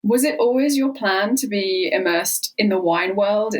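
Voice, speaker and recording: A woman's voice: mid-range voice, unhurried. A woman hosting a podcast, introducing her guest and asking friendly, longish questions. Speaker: podcast host. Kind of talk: interview hosting.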